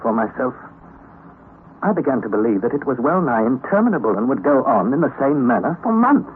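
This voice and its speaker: A man voices a young nephew narrating a perilous underground journey in a vintage radio serial. He delivers it earnestly and dramatically, with a very animated pitch. The recording is narrow and phone-thin, with faint hiss. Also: fairly quick, lightly acted.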